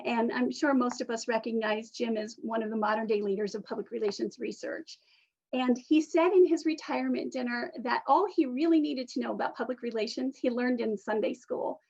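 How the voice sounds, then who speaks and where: A woman with a fairly high voice and plenty of vocal fry delivers a prepared panel talk. She is articulate and earnest, like an academic presenter. The audio is a little dull and lo-fi, as on an online call.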